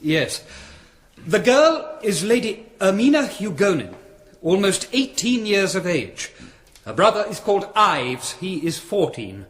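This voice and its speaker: Anxious high-voiced monk envoy. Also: male voice, very animated, measured pace. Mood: troubled, earnest. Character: earnest, troubled monk envoy, formal and anxious. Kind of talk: radio drama acting.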